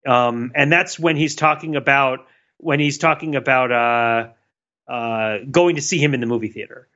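A man's voice: mid-range voice, a little slow, sharp tone, lo-fi sound. A man co-hosting a podcast, talking through ideas in a thoughtful, casual way with many fillers and restarts. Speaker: podcast co-host. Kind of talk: casual analytical chat.

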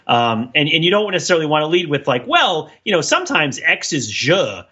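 Guy podcast cohost, bright voice, rambly explainer, keeps going "right?", bit muffled audio. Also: on the high side, very animated, brisk, slightly rough. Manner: rambling, digressive explaining, often checking with "right?". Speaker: podcast co-host. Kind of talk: casual discussion.

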